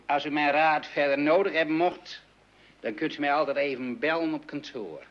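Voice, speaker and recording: A man's voice: on the high side, normal speed, muffled recording, natural voice. A man playing a genial, meddling adviser in a radio play, rambling and pedantic as he hands out tips. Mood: genial, persuasive. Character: long-winded, meddling know-all adviser, a genial official.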